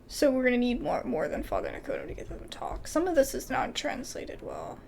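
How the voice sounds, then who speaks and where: A woman's voice: high voice, huge pitch swings, brisk, gravelly, a little mellow, slight background noise. A woman doing casual video game commentary and reading dialogue aloud, with informal, exclamatory asides.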